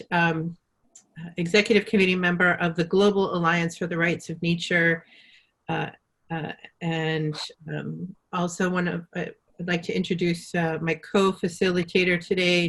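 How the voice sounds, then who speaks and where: A woman's voice: lowish voice, a bit bright. A woman hosting a live webinar over a video call, talking with many fillers and restarts. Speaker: webinar host.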